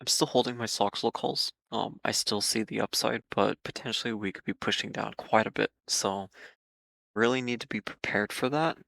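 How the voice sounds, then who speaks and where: A man hosting market commentary for viewers, speaking in a measured, cautious way with frequent ums and repeated words while losing his voice. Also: fairly low pitch, creaky, pushed and bright, dry recording. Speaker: market analysis host.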